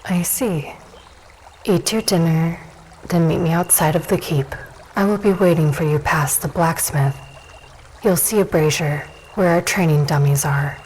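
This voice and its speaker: Casting: actress as dusk elf warrior woman, lowish voice, unhurried and sure of herself, audio drama over ambient noise. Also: steady tone, a little mellow, low-quality recording. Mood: probing. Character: self-assured dusk elf warrior woman, hospitable, probing. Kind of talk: fantasy audio drama dialogue.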